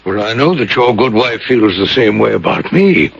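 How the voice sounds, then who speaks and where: An actor playing an earnest, superstitious colonel who tells grave stories deliberately in a radio drama. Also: male voice, lowish voice, animated, vocal fry. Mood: genial. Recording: dull, roomy old radio broadcast.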